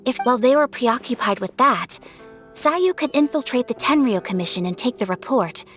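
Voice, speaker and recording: A woman playing a poised, composed young noblewoman strategist in a video game, speaking in a measured, polite and decisive way. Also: on the high side, lively intonation, fairly bright tone. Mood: thoughtful, composed. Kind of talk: video game character acting. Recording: music playing behind the voice.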